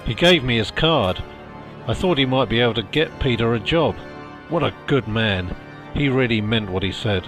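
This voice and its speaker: A man playing a weary, kind, poor father in a storybook reading, speaking gently and earnestly. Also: mid-range voice, animated, moderate pace, close to an everyday voice. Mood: grateful, weary. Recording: music behind the voice.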